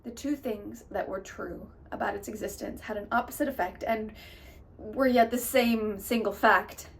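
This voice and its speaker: A woman delivering a theatrical dramatic monologue in an emotive, emphatic way, swinging between soft and loud. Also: on the high side, moderate pace. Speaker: actor performing monologues. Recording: distant mic with clear background noise.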